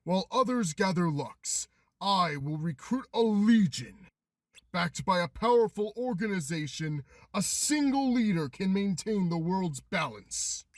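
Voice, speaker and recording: A man's voice: sits high, expressive, measured pace, dry recording. A man playing a ruthless, power-hungry Keyblade master in a game fandub, speaking in a solemn, commanding manner. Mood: imperious. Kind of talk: anime-style game fandub acting.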